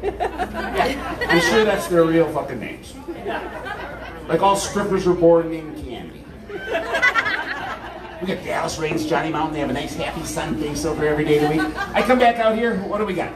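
A man's voice: on the high side, animated, normal speed, long pauses, background noise. A middle-aged man with a Wisconsin accent doing stand-up, full of swearing and self-mocking jokes. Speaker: comedian. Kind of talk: stand-up comedy bits. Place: large hall. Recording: roomy hall, distant mic, loud crowd.

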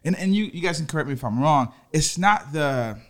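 A man's voice: on the high side, brisk. A man talking in a casual faith discussion, telling stories animatedly and acting out the reactions he is quoting. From Haiti. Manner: animated storytelling, acts out quoted reactions.

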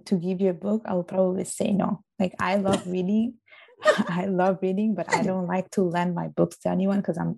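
A woman's voice: lowish voice, gravelly, mellow. A woman with a strong Italian accent, chatting as a guest in an earnest, emphatic way. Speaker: interview guest. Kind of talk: casual interview chat.

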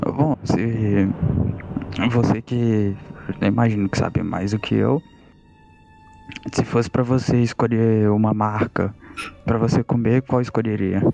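Slow, gravelly-voiced man with a deadpan delivery. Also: lowish voice, soft background music. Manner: drawn-out, deadpan, odd questions. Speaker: player. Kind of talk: in-character tabletop game chat.

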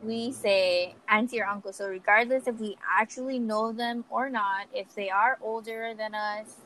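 A woman's voice: mid-range voice, fairly even intonation, moderate pace, fairly bright tone, slight background noise, rough recording. A woman in her twenties from Hawaii, co-hosting a podcast in casual, chatty talk, laughing often and using fillers. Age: 20s. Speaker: podcast co-host. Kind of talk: casual chat.

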